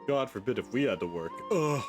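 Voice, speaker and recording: Animated, sarcastic male gaming streamer. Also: medium pitch, brisk. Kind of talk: casual, joking game commentary. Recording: game music behind the voice.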